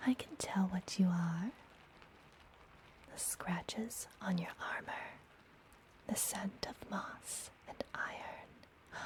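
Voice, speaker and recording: A woman playing a friendly, mysterious witch and healer in an ASMR fantasy roleplay, whispering softly and gently with a teasing manner. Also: medium pitch, slight background noise, low-quality recording. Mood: welcoming, concerned. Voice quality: soft, breathy whisper. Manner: gentle, slow, playfully teasing.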